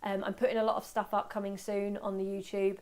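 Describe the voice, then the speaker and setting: Female webinar presenter, medium pitch, informal and talkative, excitable and self-deprecating, speaking live to learners. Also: fast talker. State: voice slightly going from talking. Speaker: photography workshop presenter. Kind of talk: informal live webinar talk with audience questions.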